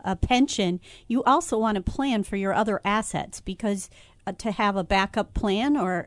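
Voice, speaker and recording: A woman with a mid-pitched voice explains retirement planning steadily on a radio show, with a few fillers. The recording is close and dry. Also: no room sound. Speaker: financial planning co-host. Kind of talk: radio talk show discussion.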